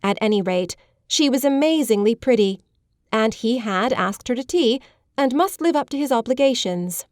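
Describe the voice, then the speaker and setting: A woman narrating a literary audiobook in a measured, polished storytelling manner. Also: on the high side, expressive, dry recording, studio quality.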